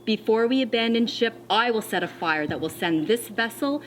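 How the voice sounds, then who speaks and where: A woman schoolteacher reading a children's storybook aloud, expressively and deliberately, with dramatic pauses. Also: fairly high pitch, animated, fairly bright tone, a little hiss or hum. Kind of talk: read-aloud of a children's storybook with teacher asides.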